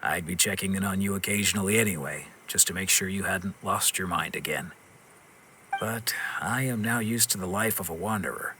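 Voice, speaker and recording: A man playing a stern but forgiving wandering swordsman in a video game, speaking in a measured, firm and frank way. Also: low voice, noisy background, natural voice. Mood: dry, resolved. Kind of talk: dramatic video game acting.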